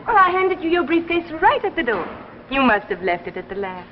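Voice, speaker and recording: An anxious, apologetic wife in a 1950s TV drama. Her voice is high and her words come fast, stammering as they start. The old soundtrack is dull. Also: sing-song, slight background noise. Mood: gently chiding. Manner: stammering, repeating first words. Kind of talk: 1950s American television drama acting. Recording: muffled 1950s broadcast soundtrack.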